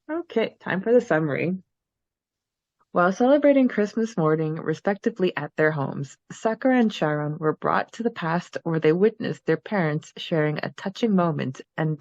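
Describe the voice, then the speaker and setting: A woman's voice: sits low, no echo, dull audio. A woman hosting a podcast in a chatty, giggly manner, moving between casual talk and recap. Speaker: podcast host. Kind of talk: casual chat and chapter recap.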